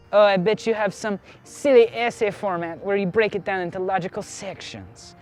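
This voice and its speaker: A man's voice: high-pitched, moderate pace, darkish tone, soft background music, close to an everyday voice. A man voicing a pretentious young film student, his own younger self, who sneers in broken French and mocks. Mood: condescending. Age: young adult. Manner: mocking, fake-French affectation.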